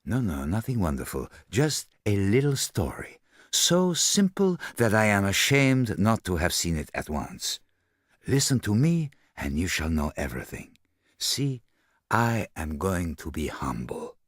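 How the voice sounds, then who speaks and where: Brief: male reader doing a hushed, precise, formal sleuth, slow and low, dry close sound. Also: big pitch swings, dry recording, heavily acted. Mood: quietly pleased. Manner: formal, measured, quietly self-satisfied. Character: fastidious older male detective, soft-spoken and precise. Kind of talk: audiobook character voice.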